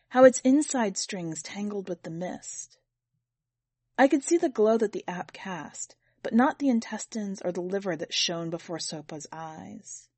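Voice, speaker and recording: A woman's voice: medium pitch, darkish tone, dry recording. A woman narrating a first-person literary short story from a script. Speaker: podcast editor and story reader.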